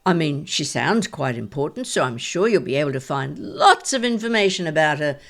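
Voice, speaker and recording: Woman playing a low-voiced, shrewd grandmother. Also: sing-song, exaggerated voice. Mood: shrewd, coaxing. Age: older. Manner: measured, questioning, dryly sceptical. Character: aged grandmother, shrewd, sceptical, gently probing. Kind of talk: audio drama dialogue.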